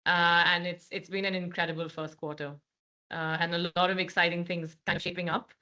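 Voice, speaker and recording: A woman's voice: lowish voice, level tone, brisk, sharp tone. A woman in her thirties with an Indian accent, a guest speaking articulately in a podcast interview with frequent fillers. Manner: articulate, many fillers and restarts. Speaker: guest, managing director. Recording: slightly dull lo-fi sound.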